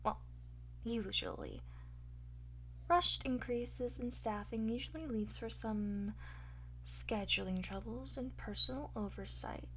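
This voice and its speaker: A woman playing a flirtatious, boastful master thief in an ASMR roleplay, soft and often whispering, theatrical and smug. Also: a little high, a little hiss or hum, rough recording. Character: teasing, boastful female master thief under interrogation.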